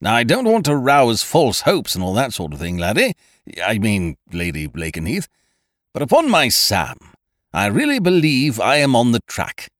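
A man voicing a booming, hearty and effusive male chancer in a humorous audiobook, with emphatic delivery. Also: huge pitch swings, normal speed, dry recording, studio quality. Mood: eager, reassuring. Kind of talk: humorous audiobook character dialogue.

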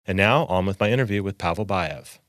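A man hosting a podcast, reading a scripted introduction and asking interview questions in a measured, formal way, recorded in a studio. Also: low voice, a bit bright, dry recording. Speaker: podcast host. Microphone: studio microphone.

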